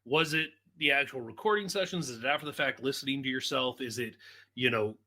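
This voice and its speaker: Bright-voiced man interviewing casually. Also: mid-range voice, a little fast. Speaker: podcast interviewer.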